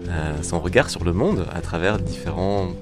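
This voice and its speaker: Deep-voiced male interview host. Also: normal speed, over music.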